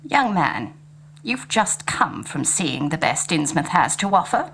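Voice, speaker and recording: A woman's voice: low voice, sing-song, measured pace, a bit bright, full character voice. Prim, disapproving elderly lady. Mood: grave, disapproving. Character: prim, disapproving elderly historical society woman. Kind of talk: 1930s radio play acting. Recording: faint hum and room noise.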